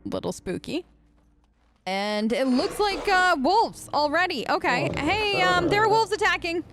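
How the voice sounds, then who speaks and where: A woman's voice: very high-pitched, lively intonation, a little slow, a bit bright. A woman role-playing in a video game let's play, casual and wry with a hesitant, improvised delivery.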